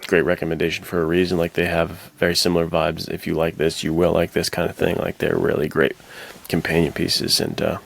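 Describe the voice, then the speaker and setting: A man with a deep voice and a clear creak to it talks as a podcast co-host. His chat is casual and slangy, full of emphatic enthusiasm. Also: completely flat delivery, fairly quick, hardly any pauses, slight background noise. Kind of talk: casual chat about films and books.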